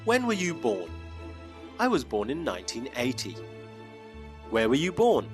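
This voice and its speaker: A man's voice: medium pitch, huge pitch swings. A male English teacher narrating a scripted lesson, modeling each phrase carefully so learners can repeat it. His intonation rises and falls very expressively. Faint music plays underneath. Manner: slow, clearly modeled phrases for repetition.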